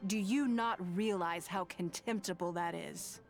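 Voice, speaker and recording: An actress playing a composed, authoritative lady in a video game, speaking in a measured, articulate way. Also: lowish voice, creaky, faint music behind. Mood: stern, reproachful. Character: poised, composed adult lady of authority, kindly but stern.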